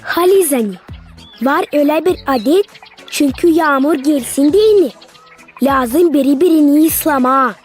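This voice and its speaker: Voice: sits high, measured pace, faint music behind. A performer playing a curious young girl in a cartoon, asking polite questions. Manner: inquisitive, polite.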